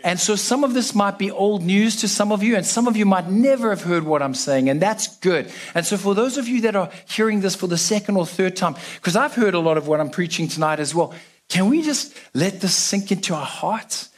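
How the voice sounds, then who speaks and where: A man's voice: high voice, fairly quick. A young South African man giving a casual, joking sermon to a church congregation. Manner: casual, self-deprecating, chatty with fillers. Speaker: guest preacher.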